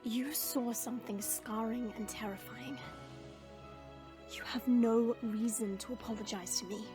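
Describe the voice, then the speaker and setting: A woman's voice: a little high, fairly even intonation, unhurried, over music, natural voice. A woman playing a proud, protective dragon princess in an audio drama, with formal, stately phrasing. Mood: solemn, reassuring.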